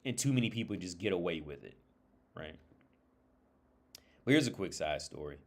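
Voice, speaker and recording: A man with a lowish voice hosts a livestream on his own. He talks loosely and informally, as if thinking aloud. His speech is peppered with swearing, and he often repeats or restarts a phrase before moving on. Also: animated, normal speed. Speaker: livestream host. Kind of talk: casual rambling livestream monologue with anecdotes.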